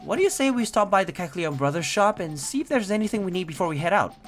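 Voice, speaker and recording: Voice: mid-range voice, lively intonation, quick pace, soft background music, close to an everyday voice. A performer playing an earnest, cautious young creature sidekick in an audio drama, speaking politely and chattily. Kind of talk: audio drama character acting.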